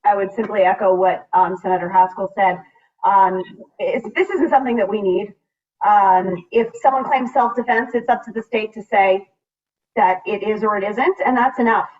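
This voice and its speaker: A woman's voice: medium pitch, moderate pace, darkish tone. An American woman legislator speaking earnestly and emphatically on a panel over a video call. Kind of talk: public panel remarks, speaking from personal conviction. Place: remote video meeting. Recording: distant, muffled video call audio.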